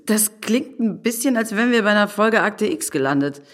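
A woman playing a sardonic, quick-witted married city woman in an audio drama, with a wry, teasing and theatrical delivery. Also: mid-range voice, lively intonation, lightly acted.

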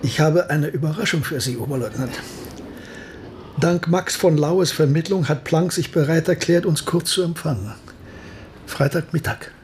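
Actor as a scholarly professor over background noise. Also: male voice, fairly high pitch, normal speed, close to an everyday voice. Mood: narrating. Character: scholarly male professor advising a military officer, also narrating. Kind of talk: radio drama dialogue and narration.

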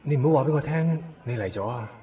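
Actor as a tender young student, distant sound. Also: male voice, mid-range voice, quick pace, a little hiss or hum, lightly acted. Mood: tender, surprised. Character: tender young male student living abroad. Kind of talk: Cantonese radio drama acting. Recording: dull, distant-sounding radio recording.

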